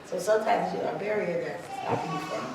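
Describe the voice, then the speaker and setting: A woman's voice: fairly low pitch, a little fast, vocal fry. A woman speaking hesitantly in a group discussion, leaning on fillers. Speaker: workshop participant. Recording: echoey room, from far off, noisy.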